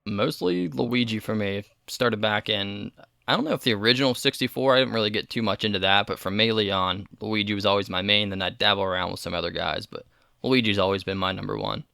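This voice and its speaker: Man, 30s, podcast co-host; gravelly, relaxed delivery. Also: fairly low pitch, a little fast, no echo, clean studio sound. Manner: relaxed, reads listener mail aloud. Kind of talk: casual chat.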